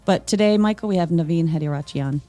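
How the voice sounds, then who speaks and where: Mellow-voiced female podcast co-host. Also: on the low side, fast talker, soft background music. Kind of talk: casual podcast chat and read guest introduction.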